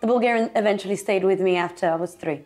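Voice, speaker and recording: A woman's voice: mid-range voice, very fast, warm tone. A woman born in Italy, a guest in an interview, telling her story in long, chatty, run-on answers and laughing often. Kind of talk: casual interview talk.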